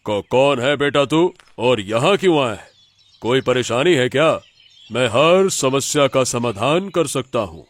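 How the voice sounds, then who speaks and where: Man playing slow-talking assured holy man. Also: mid-range voice, animated, dry recording, lightly acted. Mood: calm, probing. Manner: slow, assured, fatherly address. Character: smooth-talking holy man selling miracle remedies. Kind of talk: Hindi cartoon moral story acting.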